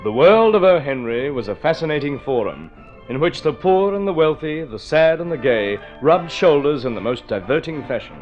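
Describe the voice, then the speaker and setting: A man's voice: on the high side, big pitch swings, moderate pace. A man reading scripted narration as the announcer of an old-time radio drama. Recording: muffled vintage radio recording. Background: music.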